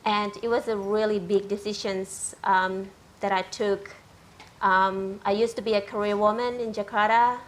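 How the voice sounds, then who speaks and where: A woman's voice: medium pitch, fairly even intonation, moderate pace. Hesitant woman. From Indonesia. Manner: hesitant, with frequent ums and non-native grammar. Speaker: panelist.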